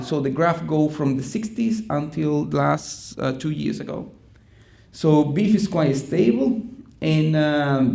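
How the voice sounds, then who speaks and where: A middle-aged man from Chile with a Chilean Spanish accent, giving a conference presentation in a halting way, with many ums and restarts. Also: fairly high pitch, gravelly, darkish tone. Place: conference room.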